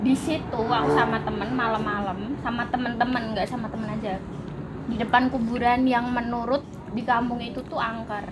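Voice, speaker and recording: A woman chatting casually, relaxed and matter-of-fact as she tells a story. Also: on the high side, normal speed. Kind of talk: casual chat. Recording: noisy background.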